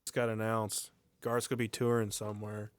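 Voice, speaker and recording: A man's voice: lowish voice, completely flat delivery, brisk, no echo, studio quality. Laid-back young man chatting casually. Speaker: podcast guest. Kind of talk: casual chat.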